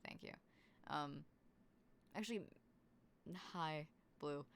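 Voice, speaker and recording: A woman's voice: deep voice, takes it slowly, clear, resonant tone. A young woman in casual voice-call chat as a tabletop game player, rambling with many fillers. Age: young adult.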